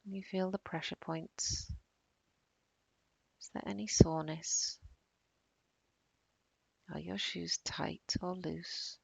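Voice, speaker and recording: Very creaky, slow-speaking woman guiding meditation. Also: lowish voice, dry recording, rough recording. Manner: calm, soothing, unhurried with long pauses. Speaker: meditation guide. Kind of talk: guided body-scan meditation.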